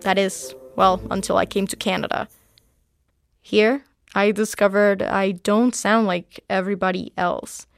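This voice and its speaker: Creaky-voiced woman, slight Panamanian Spanish accent. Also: medium pitch, no echo, clean studio sound. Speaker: journalist, narrator. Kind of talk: personal scripted narration.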